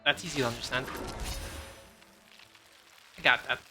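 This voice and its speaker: A man's voice: mid-range voice, steady tone, normal speed. A man streaming a video game, giving casual commentary with jokey, irreverent asides. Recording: music and game noises behind.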